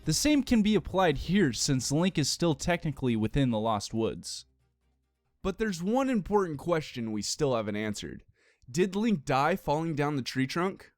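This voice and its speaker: Man narrating a scripted explainer over soft music. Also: fairly high pitch, big pitch swings, dry recording, clean studio sound. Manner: measured, explanatory, argumentative. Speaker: narrator of a video essay.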